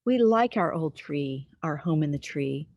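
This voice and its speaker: A woman reading a picture book aloud to young children outdoors, expressively, pausing to put questions to the listener. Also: low voice, animated, darkish tone, dry recording, highs cut off. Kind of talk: animated read-aloud storytelling for young children. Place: outdoors in a backyard with trees.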